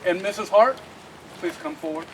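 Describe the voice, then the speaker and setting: A man presenting certificates of appreciation at an outdoor ceremony, speaking haltingly. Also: high-pitched, animated, distant mic. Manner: halting, hesitant reading. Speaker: veterans group representative presenting certificates. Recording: loud background noise.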